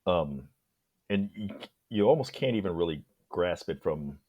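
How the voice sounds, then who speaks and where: Low, husky man chatting about film. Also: animated, unhurried, no echo, dull audio. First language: English. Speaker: podcast guest. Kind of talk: casual film discussion.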